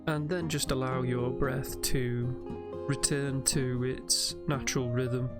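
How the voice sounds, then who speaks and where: A man giving calm, gentle, measured guided sleep meditation commentary as a narrator. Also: mid-range voice, loud music behind the voice.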